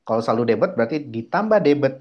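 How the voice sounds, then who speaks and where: A man's voice: medium pitch, big pitch swings, fast, lo-fi sound. A man giving an informal tutorial as a lecturer, explaining step by step in a didactic, repetitive way with frequent checking tags. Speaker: accounting lecturer.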